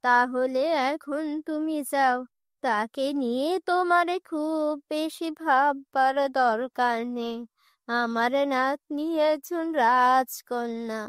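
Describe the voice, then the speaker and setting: A woman voicing a frail, doting, protective old grandmother with slow, drawn-out speech in a cartoon. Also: high-pitched, clear, resonant tone, dry recording, clean studio sound. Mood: firm, proud. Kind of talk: cartoon fairy-tale dialogue.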